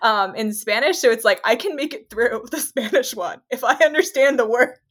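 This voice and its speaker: A woman's voice: on the high side, normal speed, a bit bright. A woman chatting on a podcast who gushes and rambles, with many fillers. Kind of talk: casual podcast chat.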